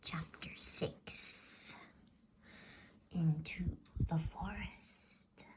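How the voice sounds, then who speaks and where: A woman whispering a bedtime story as ASMR in a soothing, hushed, drawn-out voice. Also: deep voice, steady tone, low-quality recording. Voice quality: breathy whisper.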